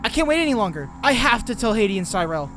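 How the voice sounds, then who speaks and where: A man's voice: high voice, very animated, fast, long reverb tail, over music. A man playing an earnest, nerdy, anxious teenage boy in a dramatized comic dub. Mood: urgent, anxious. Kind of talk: dramatized comic dub, dialogue and first-person narration.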